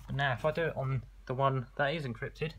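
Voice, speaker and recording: A man's voice: mid-range voice, fairly even intonation, brisk, a little hiss or hum. A man giving an informal product demonstration as an online seller, explaining in a conversational, slightly hesitant way.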